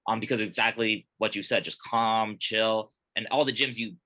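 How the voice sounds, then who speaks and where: A young man, born in Korea and raised in Colorado, chatting as a guest from a small gym office in a relaxed, rambling way with many fillers. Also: fairly low pitch, moderate pace. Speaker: guest, fighter and gym manager. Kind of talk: casual interview chat.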